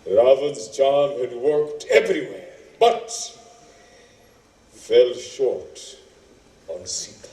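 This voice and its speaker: A man performing as a solemn theatrical storyteller, recorded from far off in an echoey stage space. He tells an epic slowly and dramatically, in a slightly high voice. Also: animated, warm tone. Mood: solemn, measured. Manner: measured, dramatic delivery. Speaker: stage narrator. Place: indoor theatre stage, distant microphone.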